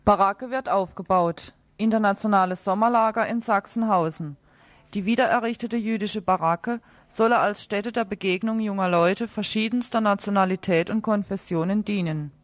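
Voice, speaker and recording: A woman co-presents radio news, reading out reports and announcements. Her voice is of average pitch and is heard dry, with very little room sound around it. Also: moderate pace, no echo.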